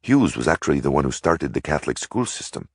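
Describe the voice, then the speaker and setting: A man's voice: a bass voice, big pitch swings, fast, creaky, breathy edge, no echo, studio quality, heavily acted. A man reading aloud, voicing an old Irish priest grandfather who lectures in an Irish brogue. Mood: lecturing. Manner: lecturing, earnest.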